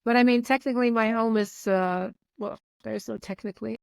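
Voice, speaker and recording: Lively, higher-voiced woman in her fifties. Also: gravelly, clear, resonant tone, no echo. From Saudi Arabia. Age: 50s. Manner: animated, candid, quick to laugh. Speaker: guest. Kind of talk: conversational interview.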